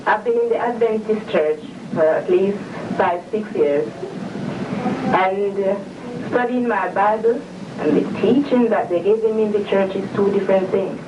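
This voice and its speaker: A woman's voice: medium pitch, moderate pace. Woman, fervent believer, firm delivery; distant, roomy archive audio. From England. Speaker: interviewee, church member. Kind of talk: earnest testimony on video. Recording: dull, echoey room from far off, noisy.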